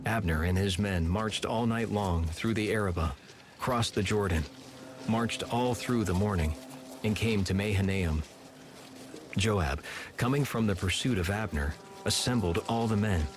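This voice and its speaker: A man narrating scripture from a script in a measured, solemn manner. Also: fairly low pitch. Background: music.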